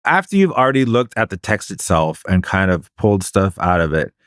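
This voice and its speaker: A man co-hosting a podcast, explaining study methods in a measured, casual way. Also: sits low, big pitch swings, a bit bright, clean tone, dry recording, clean studio sound. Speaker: podcast co-host.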